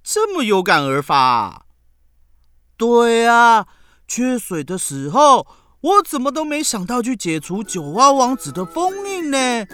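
A woman with a Taiwanese Mandarin accent playing an excitable, cheeky school child in a children's radio drama, theatrical and exclamatory. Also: a little high, unhurried, no echo. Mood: mock earnest.

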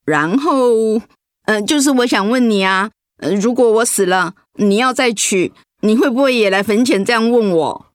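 A woman's voice: on the high side, no echo, clean studio sound, natural voice. An actress playing a sick, easily hurt wife and mother in a radio drama, with a Taiwanese accent and an insistent, whiny, emotive delivery. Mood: insistent, coaxing. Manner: whiny, emotive, with drawn-out sentence particles.

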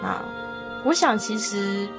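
Woman talking over clear background music. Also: mid-range voice, huge pitch swings, slow pace. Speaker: panel discussion participant. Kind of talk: casual reflective discussion.

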